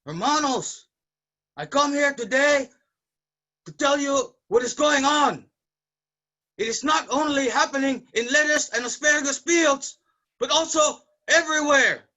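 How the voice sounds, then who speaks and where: An actor playing an earnest, impassioned Filipino labor organizer in a staged reading, declaiming to fellow workers. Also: male voice, extremely high pitch, a little slow, sharp tone, heavily acted, poor audio. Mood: rallying, urgent.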